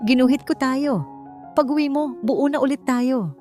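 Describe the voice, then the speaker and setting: A woman's voice: sits high, normal speed, darkish tone, soft background music, pitched above the performer's own voice, close to an everyday voice. A woman voicing a sweet, innocent, sickly eight-year-old girl in an audio drama story. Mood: sweet, hopeful.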